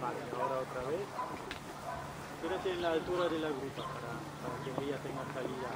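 A man instructing a student in an outdoor round pen, giving calm, directive coaching in short commands. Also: mid-range voice, fairly quick, very noisy. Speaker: horse clinic instructor.

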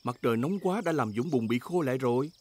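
A man acting as friendly storybook narrator in scripted cartoon narration, measured and explanatory. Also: medium pitch, lively intonation, very noisy, close to an everyday voice. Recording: loud music and effects behind.

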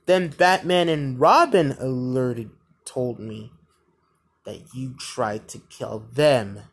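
A man voicing a stern, accusing Minecraft warrior leader in a slow, grave, deliberate manner for an amateur animation fandub. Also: medium pitch, husky. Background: music.

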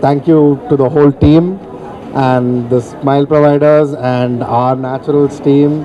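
A man's voice: fairly high pitch, little pitch movement, very slow, highs cut off. A man in his thirties with an Indian accent, giving a polite, hesitant thank-you speech as a company director. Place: open atrium of a shopping mall, on stage. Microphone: handheld microphone.

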